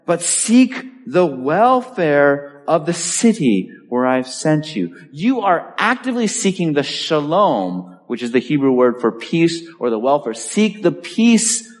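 A man's voice: fairly high pitch, big pitch swings, slow pace, hardly any pauses, big-room sound. A man pastor preaching a sermon, driving points home with emphatic repetition and telling a personal story. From Boston area, US. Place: church.

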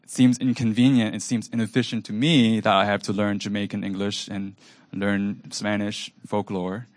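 Male moderator, first language Cantonese, low voice, unhurried and reflective, dry close mic. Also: flat delivery, dry recording. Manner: thoughtful, searching, many ums. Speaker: event moderator. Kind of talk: asking a long panel question.

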